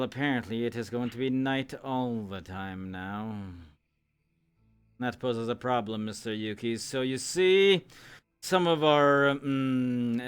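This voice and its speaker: A man playing a grave, formal town authority in an improvised fantasy roleplay, speaking in a measured, ominous way. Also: mid-range voice, clear, resonant tone, close to an everyday voice. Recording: music behind the voice. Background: music.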